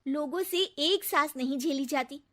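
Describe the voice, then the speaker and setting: A woman voicing a weary, put-upon young daughter-in-law in a cartoon, complaining and expressive. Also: high-pitched, a little slow. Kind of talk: cartoon comedy acting.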